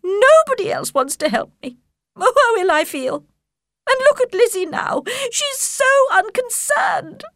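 A woman voicing a shrill, self-pitying middle-aged mother who complains loudly and dramatically. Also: very animated, a little slow, no room sound, clean studio sound, heavily acted. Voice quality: shrill, whining. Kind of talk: audiobook character voice.

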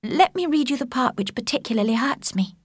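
A woman voicing a gentle young woman, a soft-spoken elder sister, in a subdued way. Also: on the high side, expressive, brisk, slightly rough, no room sound, studio quality, pitched above the performer's own voice. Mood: hurt, subdued. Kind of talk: audiobook character voice.

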